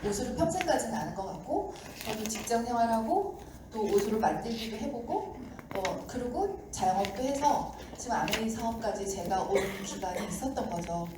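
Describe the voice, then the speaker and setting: A woman giving a seminar talk to an audience, slightly hoarse from a sore throat, chatty and acting out the doubts of skeptics. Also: on the high side, expressive, moderate pace. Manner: chatty, acts out doubters' lines. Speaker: seminar speaker. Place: lecture room with audience. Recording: echoey hall mic from far off with noise.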